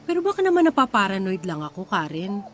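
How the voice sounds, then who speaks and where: A worried wife and elder sister in a radio drama. The voice sits at a medium pitch, hesitant and defensive as it confides its suspicions. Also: female voice, sing-song, fast talker, fairly bright tone, slight background noise. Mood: uneasy, doubtful.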